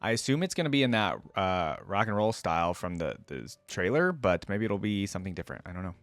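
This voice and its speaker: A man's voice: deep voice, big pitch swings, fast talker. A man hosting a reaction video, commenting casually and informally as he thinks aloud, sounding impressed. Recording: music playing behind.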